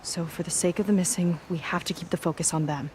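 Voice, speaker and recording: A woman's voice: fairly low pitch, steady tone, fast talker, a little mellow, slight background noise, close to an everyday voice. An actress playing an earnest, guarded young seafaring woman in a video game cutscene, speaking conversationally. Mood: hopeful, urgent.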